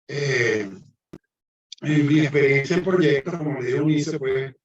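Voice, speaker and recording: A man's voice: mid-range voice, measured pace. A man with a Venezuelan accent giving an online engineering lecture, with frequent hesitations and fillers. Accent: Venezuelan Spanish. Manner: hesitant, many fillers and restarts. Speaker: guest lecturer, civil engineer. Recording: echoey distant muffled mic.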